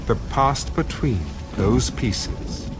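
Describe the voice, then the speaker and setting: A man's voice: mid-range voice, lively intonation, extremely slow. A man narrating a dramatized scripture in a solemn storytelling style. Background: music.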